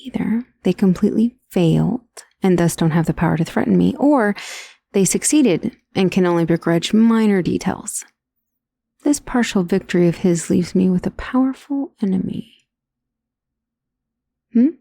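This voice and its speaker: Woman acting a refined vampire mistress, creaky and intimate. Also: medium pitch, normal speed, dark tone. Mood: worried, tender. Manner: formal, refined, genteel wording. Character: powerful aristocratic female vampire, doting and protective mistress. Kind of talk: ASMR roleplay, intimate dramatic monologue. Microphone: close, dry studio microphone.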